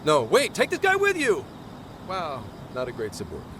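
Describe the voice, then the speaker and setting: Breezy cop with swooping, animated delivery. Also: male voice, fairly high pitch, moderate pace, slight background noise. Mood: casual, teasing. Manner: breezy, flippant, theatrical. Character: easygoing, teasing plainclothes policeman, confident and playful. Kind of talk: dubbed video game cutscene acting. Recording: music behind the voice.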